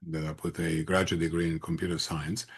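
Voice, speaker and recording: A man in his sixties speaks with a Romanian accent in a very low, creaky voice with a husky grain. He answers as an interview guest in a conversational way, pausing often as he pieces his phrases together. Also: level tone. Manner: halting, hesitant phrasing with frequent pauses.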